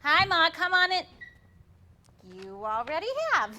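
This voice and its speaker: An actress playing a wry, easily exasperated housewife in a staged comedy. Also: female voice, extremely high pitch, big pitch swings, unhurried, very noisy, full character voice. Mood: chirpy. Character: wry, deadpan-joking housewife, exasperated daughter. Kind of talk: staged reading of a comic play.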